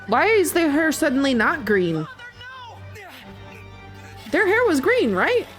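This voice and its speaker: A woman streamer reacts out loud to a game in a high, swooping voice. She is casual and exclaims often, swearing freely as she goes. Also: slow, soft background music. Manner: expressive, exclaiming, informal swearing. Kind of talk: live game playthrough commentary, casual reactions.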